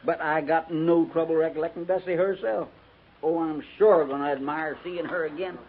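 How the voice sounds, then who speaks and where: An actor playing a restless, complaining cavalry trooper in a 1950s radio western, with a folksy rural American accent. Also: male voice, on the high side, fairly even intonation, normal speed, a little hiss or hum, close to an everyday voice. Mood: grumbling, exasperated. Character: grumbling, restless, love-starved male cavalry trooper. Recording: tinny 1950s radio recording.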